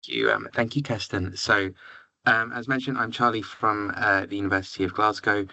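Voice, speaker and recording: A man's voice: lowish voice, moderate pace, creaky, dry recording, poor audio. A young man from near London with an English accent, presenting to education agents and filling gaps with "um" and "sort of". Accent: London area English. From England. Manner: relaxed, filler-heavy, says "sort of" often. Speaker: university representative.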